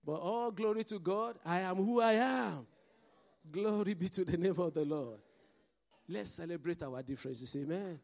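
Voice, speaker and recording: A man's voice: high-pitched, moderate pace, vocal fry, a little mellow. A man with a mixed Nigerian and Scottish accent, preaching a sermon as pastor and calling out to the congregation for responses.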